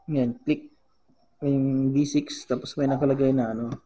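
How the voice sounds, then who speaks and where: A man with a Filipino accent giving a casual how-to demonstration as a vlogger, halting as he searches for words and mixing two languages. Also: medium pitch, little pitch movement, very noisy. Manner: halting, searching for words, switches between Tagalog and English. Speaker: vlogger giving a gadget tutorial.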